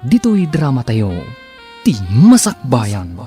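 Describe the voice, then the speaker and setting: A man's voice: medium pitch, huge pitch swings, slow, dark tone, faint music behind. A man announcing a radio drama programme in a scripted introduction.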